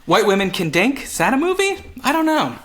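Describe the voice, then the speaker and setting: Animated, bright-voiced male podcast co-host. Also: sits high, fairly quick. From Montreal, Canada. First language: English. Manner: animated, joking, swears casually. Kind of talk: casual comedic podcast chat.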